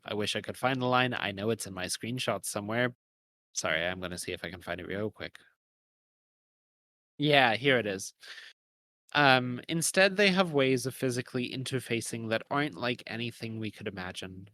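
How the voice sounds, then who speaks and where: A man's voice: mid-range voice, animated, moderate pace, sharp tone. A man co-hosting a conversational, analytical discussion in a thoughtful way, sometimes searching for words. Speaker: podcast co-host.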